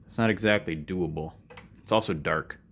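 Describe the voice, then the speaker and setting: A man's voice: sits low, normal speed, fairly bright tone, a little hiss or hum. A man giving casual, unscripted, hands-on commentary as a vlog host, rambling and self-deprecating, recorded in a basement workspace. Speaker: host of an electronics repair vlog.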